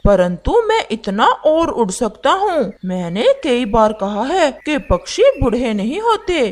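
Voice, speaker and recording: A woman's voice: on the high side, big pitch swings, measured pace. A woman voicing an arrogant, rich old male crow who sneers at the poor and boasts he never ages. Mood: bossy. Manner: scornful, brags about wealth, denies getting old. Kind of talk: Hindi cartoon voice acting.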